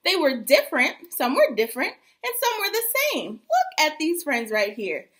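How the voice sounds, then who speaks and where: A young woman teacher reading aloud to small children in a rhythmic, sing-song rap, animated and encouraging. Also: sits high, normal speed. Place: small plain room indoors. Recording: faint background noise.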